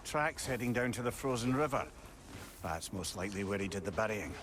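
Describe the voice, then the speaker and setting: A man's voice: on the low side, expressive, brisk, fairly bright tone, slight background noise. An actor playing a talkative, wise severed head who advises in a wry, fatherly way in a video game.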